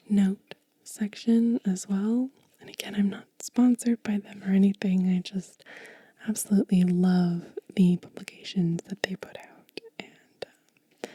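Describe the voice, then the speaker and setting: A woman hosting an ASMR video in a soft, breathy whisper, talking gently and unhurriedly, close to the microphone. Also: medium pitch, no room sound. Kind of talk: soft-spoken whispered ASMR, show and tell.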